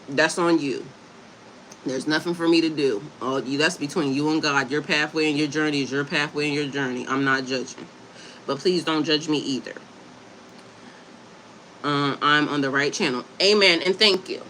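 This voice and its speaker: A woman's voice: deep voice, normal speed, fairly bright tone, slight background noise, rough recording. A woman from Delaware hosting a casual livestream, chatting with viewers and reading aloud from a website, stumbling over hard words and spelling them out. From Delaware, USA. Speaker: livestream host and herbalist.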